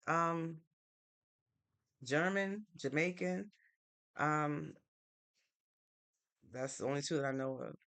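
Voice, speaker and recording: A woman's voice: sits low, takes it slowly, pauses often, a bit bright, clean tone. A woman from Connecticut in the United States hosting a live stream, chatting casually and answering viewer comments in an easygoing, thinking-aloud way. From Norwalk, Connecticut, United States.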